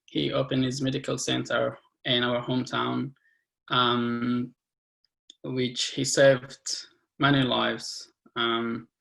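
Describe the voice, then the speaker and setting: A man in his twenties from Afghanistan shares his story earnestly, pausing often with fillers. His voice is bright and gravelly. He holds an even, level tone over a video call. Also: mid-range voice, rough recording. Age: 20s. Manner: earnest, halting, many fillers. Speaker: guest speaker. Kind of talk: personal testimony on a webinar.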